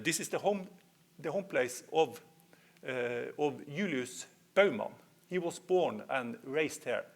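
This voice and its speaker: A man with a Norwegian accent giving a history presentation, speaking in measured phrases with hesitant fillers. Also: mid-range voice, vocal fry, pushed and bright. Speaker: lecturer.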